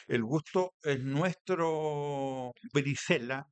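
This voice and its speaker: A man with a Chilean accent hosting a radio interview in measured, deliberate phrases. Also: a little high, clean tone, dry recording. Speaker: radio host. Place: radio studio.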